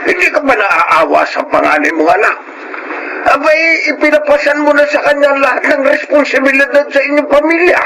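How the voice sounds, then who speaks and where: Casting: an old grandfather, caring but scolding, high-pitched, radio drama, noisy recording. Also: brisk, gravelly. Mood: stern, reproachful. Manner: admonishing, exclamatory. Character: concerned, stern old Filipino grandfather. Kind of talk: Filipino radio drama acting.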